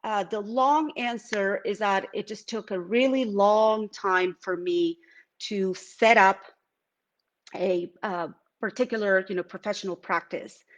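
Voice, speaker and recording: A woman's voice: medium pitch, fairly even intonation, unhurried. A middle-aged woman from Venezuela with a Venezuelan Spanish accent, giving a live artist talk from her studio. She speaks reflectively, with many fillers and restarts. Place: home art studio.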